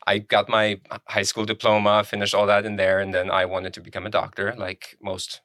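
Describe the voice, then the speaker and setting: Bright, low-pitched man, close and dry. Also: completely flat delivery, moderate pace, no room sound. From Germany, born in Poland. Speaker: podcast co-host being introduced. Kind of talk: casual conversational interview.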